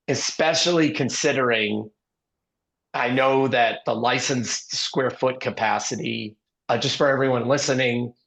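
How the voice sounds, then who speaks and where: A man hosting an interview with a hoarse, scratchy voice, rambling and filling pauses with ums and uhs. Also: medium pitch, a bit bright. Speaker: podcast host and investor. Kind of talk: casual interview talk.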